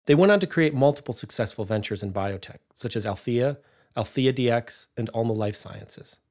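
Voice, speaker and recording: A man's voice: medium pitch, normal speed, darkish tone. A man reading scripted narration for a documentary-style profile.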